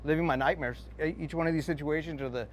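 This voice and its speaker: A man's voice: on the high side, fairly even intonation, fast, a little hiss or hum. A man, a fire lieutenant, recounting an experience in an interview.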